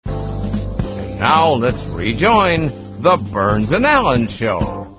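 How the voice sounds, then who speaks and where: A man reading a scripted continuity announcement in a measured, deliberate way, muffled and over music. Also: mid-range voice, wildly swooping pitch.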